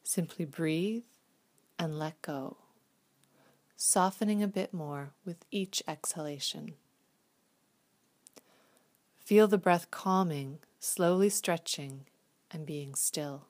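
A woman with a slightly low voice guides a calming visualization. She speaks gently and soothingly, in a hushed, unhurried way suited to meditation.

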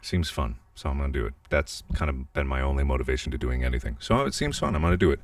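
Very deep-voiced man, quiet rambling vlog. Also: lively intonation, quick pace, no room sound, clean studio sound, far from the mic. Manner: rambling, self-deprecating, speaks quietly. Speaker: YouTuber. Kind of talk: casual vlog chat. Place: small bedroom, indoors. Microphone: handheld podcast mic, held awkwardly.

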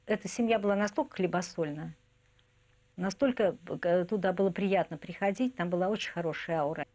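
A middle-aged woman recalling a colleague in a documentary interview, with halting phrasing. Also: lowish voice. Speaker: artist, interviewee. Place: indoor furnished room.

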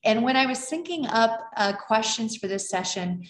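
A woman's voice: a little high, lively intonation, moderate pace. A woman in her thirties hosting an online event, introducing a guest and asking questions in a friendly, upbeat way over a video call. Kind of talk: welcoming introduction and interview. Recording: roomy video call audio.

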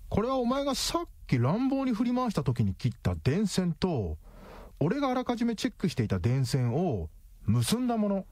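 An actor playing a cocky, calculating detective in a radio drama, explaining things in a smug, theatrical way. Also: male voice, high voice, wildly swooping pitch, normal speed, darkish tone, dry recording, exaggerated voice. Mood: taunting. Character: cocky, calculating male detective, coolly triumphant.

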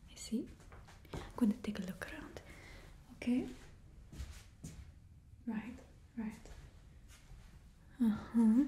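A woman's voice: fairly high pitch, dark tone. A young woman voicing a bossy female stylist to supervillains with a put-on Russian accent, whispering blunt remarks close to the mic. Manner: blunt and condescending. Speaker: ASMR creator. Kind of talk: ASMR roleplay. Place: indoor studio with painted backdrop. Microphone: close ASMR mic.

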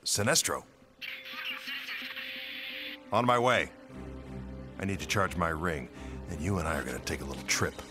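A brash male superhero in a video game. He has a lowish voice with very animated pitch and a sardonic, punchy delivery, with music behind. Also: brisk. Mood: determined. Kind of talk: dramatic video game acting.

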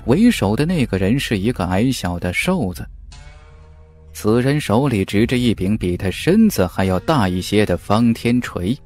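A man narrating a serialized fantasy audiobook in an expressive storytelling style. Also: lowish voice, moderate pace, faint music behind.

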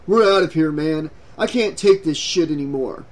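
A man voicing a hot-headed, frightened young man in a story, snapping and shouting in frustration. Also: a little high, normal speed, close to an everyday voice. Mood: angry.

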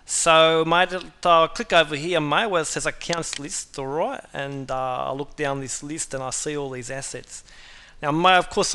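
A man with an Australian accent walks through a software task in a casual, chatty way, saying "mate" constantly and filling pauses with "uh". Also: on the high side, smooth. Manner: chatty, says "mate" constantly, many "uh" fillers. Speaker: software tutorial presenter. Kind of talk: casual screen-recording walkthrough.

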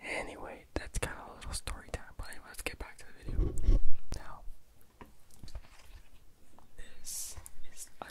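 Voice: normal speed, pauses often. A young adult ASMR host whispering casually in a rambling, slangy storytelling style, close to the microphone. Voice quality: unvoiced whisper.

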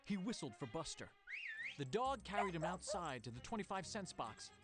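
A man's voice: high voice, very animated, normal speed, over music. A man reading a scripted children's storybook as narrator.

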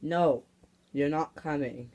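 A woman's voice: low voice, slow, darkish tone. A woman voicing a laid-back teenage cartoon boy, casual and matter-of-fact, in an improvised character play. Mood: casual, firm.

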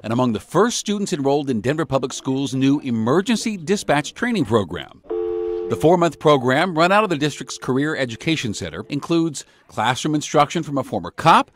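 A man's voice: a little high, sing-song, a little fast. A man in his fifties with an American accent, reporting as a TV journalist in scripted news narration. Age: 50s.

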